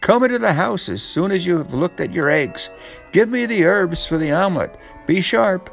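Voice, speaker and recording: A man voicing a sly, formal, bossy fox gentleman with sandy whiskers in a storybook reading. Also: high-pitched, animated, normal speed, vocal fry, faint music behind, pitched above the performer's own voice. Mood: abrupt, impatient. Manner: formal, courtly, turning bossy. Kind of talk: storybook character voice.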